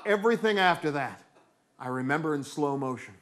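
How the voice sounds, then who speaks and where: A man's voice: fairly high pitch, animated, fairly quick, fairly bright tone. A middle-aged American man performing theatrical comic storytelling on stage, acting out moments with dramatic delivery. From New England, United States.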